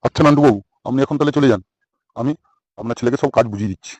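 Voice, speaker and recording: A man's voice: medium pitch, racing, darkish tone, no room sound, lightly acted. A man voicing a genial, obliging boss in a comic cartoon, oily and repetitive. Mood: businesslike.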